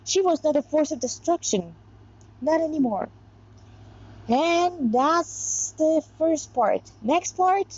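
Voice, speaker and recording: A woman with a fairly high voice reads a story aloud at a slow, halting pace. She stumbles over words and corrects herself, slipping into informal asides. The recording is dull and lo-fi. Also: animated, darkish tone. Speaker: reader of own fan fiction.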